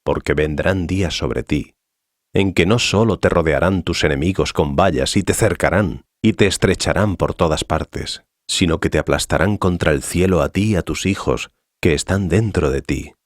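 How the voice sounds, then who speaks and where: Guy acting as a deep solemn holy teacher in a bible audio drama, slow-weighted authority, long echoing reverb. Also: natural voice. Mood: sorrowful warning. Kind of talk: dramatized scripture reading. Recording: long reverberant hall echo.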